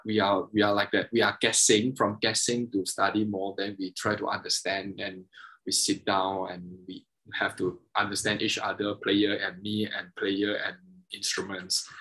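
Husky low-voiced man on a video call. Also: a bit bright, low-quality recording. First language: Mandarin and Cantonese. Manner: halting, many restarts and fillers, explains technically. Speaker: guest, double bassist and violin maker. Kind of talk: casual interview over video call.

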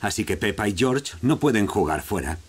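A man's voice: fairly low pitch, expressive, normal speed, soft background music. A man narrating a scripted children's cartoon with a Castilian Spanish accent.

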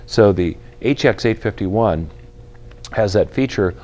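A man in his fifties, filmed in a large indoor store with a lapel mic, explaining equipment to camera in a clear, measured, practical way. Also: fairly low pitch, darkish tone, dull audio. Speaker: retail company spokesperson presenting boating gear.

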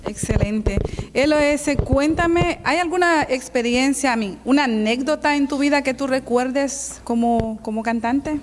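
Middle-aged lady interviewer, fairly high voice, slight reverb and hiss. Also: unhurried. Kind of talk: interview questions. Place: small studio set, indoors. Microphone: handheld mic.

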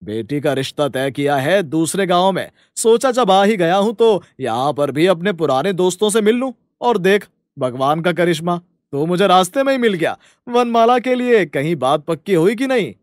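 A man's voice: high voice, big pitch swings, normal speed, no room sound, clean studio sound. A man voicing a friendly, curious long-time friend in a cartoon, with a familiar, chatty manner.

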